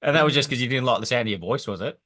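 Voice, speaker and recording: A man hosting a podcast interview, with a deep, fairly monotone voice. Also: rapid-fire. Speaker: podcast host. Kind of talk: casual interview.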